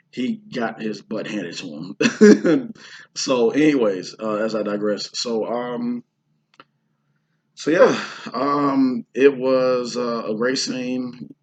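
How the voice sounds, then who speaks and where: A hoarse man from the Houston area chatting casually about his indie film shoot, rambling with lots of fillers and restarts. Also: medium pitch, slow, lo-fi sound. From Houston, Texas area. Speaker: indie filmmaker and actor. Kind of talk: casual vlog talk.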